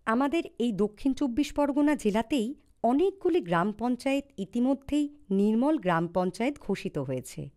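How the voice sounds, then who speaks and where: A woman's voice: a little high, sing-song, normal speed, a little mellow. A woman with a West Bengal accent reading scripted documentary narration.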